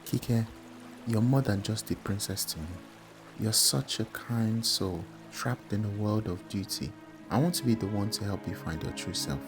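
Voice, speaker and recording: A man's voice: lowish voice, moderate pace, over music, lightly acted. A man playing a charming young farmer's son, a tender and earnest lover in a folktale drama.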